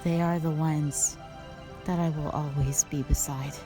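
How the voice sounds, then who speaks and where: Woman voicing a low, slow, stern dragon warrior. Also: steady tone, over music. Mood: stern, resolute. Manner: measured, solemn, formal phrasing. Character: stern, calm, duty-bound female dragon warrior guardian, centuries old. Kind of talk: scripted roleplay audio drama monologue.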